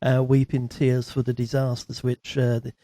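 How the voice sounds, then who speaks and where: A man's voice: medium pitch, monotone, moderate pace, dark tone, no echo. A man speaking as a guest in a radio studio, explaining things casually and a little hesitantly.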